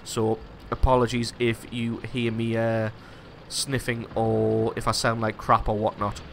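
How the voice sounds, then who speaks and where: A man's voice: fairly low pitch, monotone, measured pace, vocal fry, slight background noise. A man, congested from a cold, giving casual, self-deprecating commentary over his tank gameplay as host of a gaming channel.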